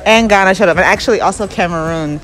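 A woman's voice: fairly low pitch, fast talker, fairly bright tone, poor audio. A woman from Barbados, an entrepreneur, answering questions in an upbeat, chatty way, full of "you know.". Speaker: interviewee, entrepreneur. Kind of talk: casual chat. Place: clothing store indoors. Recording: music playing behind.

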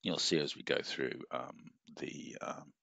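Very deep gravelly male lecturer. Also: fairly even intonation, unhurried, fairly bright tone, dry recording, muffled recording. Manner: rambling, many fillers and restarts. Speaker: university English lecturer. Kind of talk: recorded lecture walking through a syllabus. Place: office at a desk, screen-sharing.

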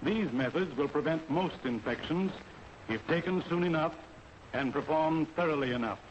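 A man with an American accent lecturing in a formal, authoritative way as an army medical officer in a 1940s training film. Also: a little high. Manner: formal, authoritative, deliberate instruction. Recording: dull, noisy 1940s film soundtrack.

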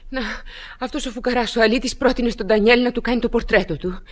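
A woman's voice: a little high, close to an everyday voice. A woman playing a cold scheming wife. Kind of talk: radio drama acting.

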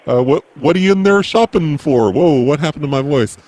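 A man doing prank phone calls as a mall Santa, in a deadpan, crude, mock-serious way. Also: fairly high pitch, brisk. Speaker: prank call host.

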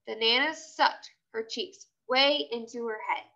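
A woman reads a children's story aloud in a high, bright voice that swings freely up and down. Her delivery is childlike and expressive, and the recording is slightly dull. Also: unhurried.

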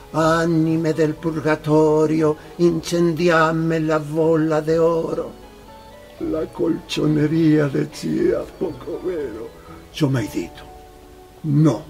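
Gravelly, high-voiced Italian shopkeeper schemer. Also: male voice, a little mellow. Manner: theatrical, mixing Italian into Spanish. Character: cheerful, conniving Italian immigrant shopkeeper, unashamed arsonist. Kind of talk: radio drama acting. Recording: music behind the voice.

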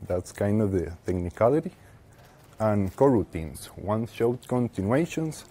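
A young man with a Costa Rican Spanish accent giving a technical presentation as a conference speaker, haltingly, with pauses mid-phrase. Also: fairly low pitch, big pitch swings, dark tone. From Costa Rica. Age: young adult. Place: lecture room with seated audience, indoors.

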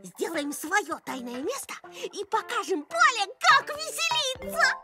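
Mischievous child monkey girl, cartoon. Tiny high voice, extremely animated, exclamatory, restless. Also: unhurried, exaggerated voice. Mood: excited. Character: excitable mischievous little monkey girl, restless, easily bored. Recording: studio voice over children's music.

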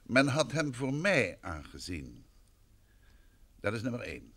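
A courteous, self-assured gentleman detective from an old radio play. His mid-range voice is polite and measured, yet varied in intonation. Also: medium pitch, wildly swooping pitch, creaky, slightly rough, exaggerated voice. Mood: serious. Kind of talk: old Dutch radio drama acting.

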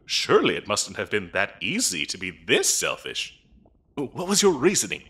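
A fussy demon clerk from hell's interview desk , played by a man, in a comedic audio roleplay. The voice is bright and a little husky, with lively swings in pitch. The delivery is officious and chatty, full of polite hesitation. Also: moderate pace, heavily acted. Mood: intrigued. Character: fussy, bureaucratic male demon interviewer in hell. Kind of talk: comedic audio roleplay acting, addressing the listener.

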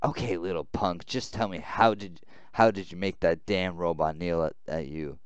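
Man as a deep, blunt military interrogator. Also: steady tone, moderate pace, clean tone, no echo, muffled recording, natural voice. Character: gruff threatening military boss interrogating a prisoner.

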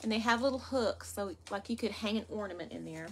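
A woman's voice: mid-range voice, a little fast, very noisy. A woman, chatting to viewers as she unboxes thrift finds in an indoor workroom. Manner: chatty, addresses viewers as "y'all". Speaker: vlog host. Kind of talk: casual haul unboxing.

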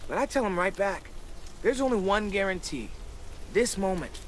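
A man's voice: sits high, expressive, normal speed, slight background noise. A man playing a cheerful, cocky teenage survivor in a video game, joking in playful, theatrical slang. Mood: upbeat, teasing.

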